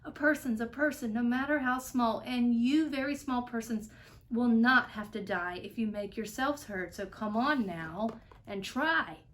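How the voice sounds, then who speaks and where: A woman's voice: a little high, unhurried, fairly bright tone. A woman voicing a kindly, earnest male elephant in a storybook, urgent and imploring. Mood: encouraging.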